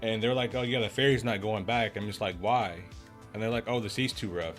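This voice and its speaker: Young male streamer, low voice, relaxed rambling chat full of fillers. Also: fairly quick, soft background music. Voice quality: deep voice. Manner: laid-back, rambling, lots of "man" and "you know". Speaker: game streamer. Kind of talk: casual livestream chat.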